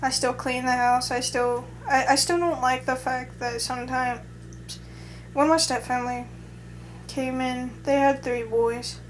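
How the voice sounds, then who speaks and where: A young adult woman with a somewhat high voice, chatting casually to camera. She rambles and hesitates, restarting her sentences often. A faint hum sits under her voice. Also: little pitch movement, measured pace, poor audio. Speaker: vlogger. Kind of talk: casual chat.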